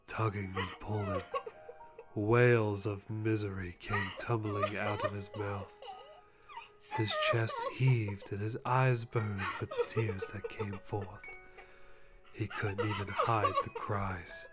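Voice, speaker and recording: A man giving a dramatic live reading of fan fiction in a mock-serious way, often close to laughing. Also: on the low side. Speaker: channel host reading a fanfic. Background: background music.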